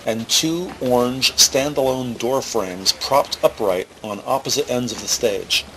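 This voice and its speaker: A man narrating a scripted crime audio drama in the present tense, measured and descriptive. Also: medium pitch, pushed and bright, a little hiss or hum, low-quality recording. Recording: faint music behind.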